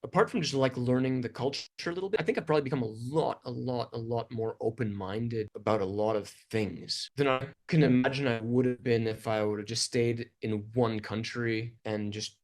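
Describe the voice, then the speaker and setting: A man's voice: medium pitch, fairly quick, hardly any pauses. Man from Canada, middle-aged, interview guest, easygoing, rambling, lots of fillers. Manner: relaxed, rambling, frequent fillers and restarts. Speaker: interview guest, English teacher. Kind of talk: casual conversational interview.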